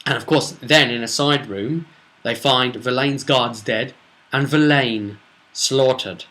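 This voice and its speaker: A man's voice: medium pitch, slow, sharp tone. A man chattily recapping a tabletop role-playing session as game master, telling it in a rambling, casual way.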